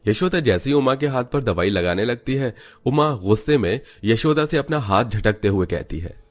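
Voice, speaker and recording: A man's voice: mid-range voice, animated, normal speed. A man narrating a scripted moral story as a storyteller.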